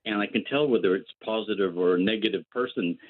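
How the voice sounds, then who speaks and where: An older man with a lowish voice calls in over a thin, tinny phone line. He talks in a playful, joking way, sharing his thoughts on the show. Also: fast talker. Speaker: caller. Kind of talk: phone-in chat.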